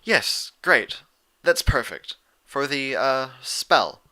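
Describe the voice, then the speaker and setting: A man's voice: mid-range voice, big pitch swings, takes it slowly, sharp tone, voice pushed up, exaggerated voice. A man voicing a bookish, fussy young female unicorn pony from a cartoon tale, explaining things in a hesitant way. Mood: awkward, businesslike. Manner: hesitant, filler-laden explaining.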